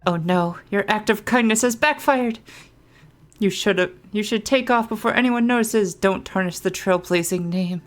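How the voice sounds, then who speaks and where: A woman's voice: medium pitch, moderate pace. A woman doing casual gameplay commentary, playful and switching into reading game text aloud. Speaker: game streamer.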